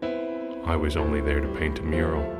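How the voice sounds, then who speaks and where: Man acting a deep-voiced gloomy old mural painter. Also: completely flat delivery, normal speed, over loud music. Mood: grave, weary. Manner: sardonic, grumbling. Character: gloomy cynical older male mural painter, sardonic and world-weary. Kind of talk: audio drama acting and first-person narration.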